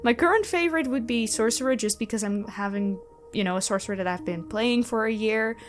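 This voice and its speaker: A woman with an enthusiastic, expressive way of talking, casually answering questions as the host of her own channel. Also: on the high side, a little fast, slight background noise. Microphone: close USB microphone. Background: background music.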